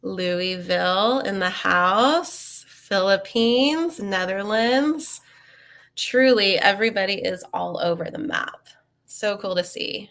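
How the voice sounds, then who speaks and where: A woman's voice: medium pitch, lively intonation, slow pace, a bit bright. A young woman, a bit sniffly from a cold, hosting a live webinar in a chatty, upbeat way with many ums and uhs. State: sniffly, getting over a cold. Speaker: webinar host.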